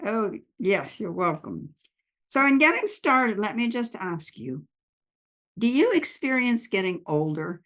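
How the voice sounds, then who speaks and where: A woman hosts a conversational interview podcast, speaking at a middle pitch. She welcomes her guest and asks open questions, responding with engaged interest to the answers. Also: big pitch swings, unhurried, a bit bright. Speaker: podcast host.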